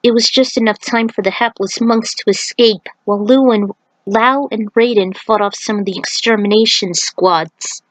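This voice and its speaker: A woman's voice: mid-range voice, steady tone, moderate pace, dry recording, poor audio. A woman reading an action fantasy story aloud as a narrator, in a dramatic scripted style.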